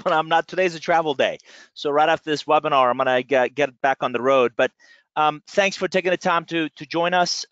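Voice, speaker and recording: A middle-aged man from Iran, a guest speaker giving an energetic, chatty webinar presentation with many fillers, talking from his office into a computer mic. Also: a little high, clear, resonant tone, no room sound. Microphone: computer mic on a webinar call. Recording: dry, dull lo-fi webinar audio.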